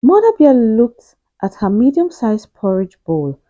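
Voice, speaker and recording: A woman reads a children's story aloud as a storyteller, with deliberate, emphatic delivery and drawn-out key phrases. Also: on the high side, big pitch swings, no echo, narrow, tinny audio. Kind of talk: expressive children's storybook narration.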